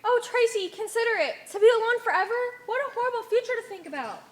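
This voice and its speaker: Naive, obedient otherworldly follower played by a woman; very high, animated, formally puzzled, in a reverberant stage play. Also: fast. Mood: pleading. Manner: formal, uncontracted, puzzled phrasing. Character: naive, obedient member of a blue cult people, easily confused. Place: theatre stage. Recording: echoey stage hall recording.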